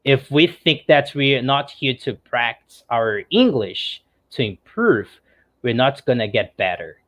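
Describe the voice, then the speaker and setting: Slow-talking man. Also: mid-range voice, sing-song, fairly bright tone. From Brazil. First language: Portuguese. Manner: earnest and reflective. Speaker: regular participant. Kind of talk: casual self-introduction. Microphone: computer mic on a video call.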